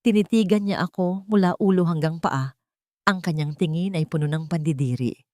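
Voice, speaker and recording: Low-voiced Filipino woman narrating drama. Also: normal speed, darkish tone, dry recording, clean studio sound. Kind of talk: dramatic first-person scripted story narration.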